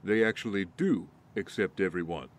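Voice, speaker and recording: A man's voice: low-pitched, normal speed, lightly acted. A man playing a principled, guarded ex-Marine in an audio drama. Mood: kind, earnest. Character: principled male ex-Marine, guarded, righteously indignant.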